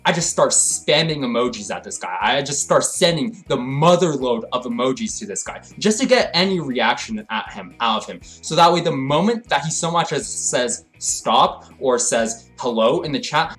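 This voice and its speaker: Young man telling a mock-dramatic comedy story. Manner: mock-dramatic, swings between hyped rants and low deadpan. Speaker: storytelling vlogger.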